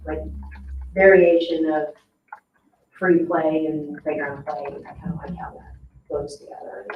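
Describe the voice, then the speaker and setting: A woman's voice: sits low, lo-fi sound. A woman board member giving her thoughts informally in a meeting, phrasing them loosely and tentatively. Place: indoor town board meeting room. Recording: echoey room, distant mic, faint noise.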